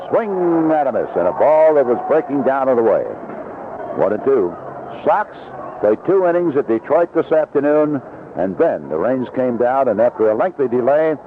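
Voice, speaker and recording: A man calling live baseball play-by-play on the radio in clipped, descriptive phrases. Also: mid-range voice. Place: outdoor ballpark broadcast booth. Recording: noisy lo-fi 1970s AM radio broadcast.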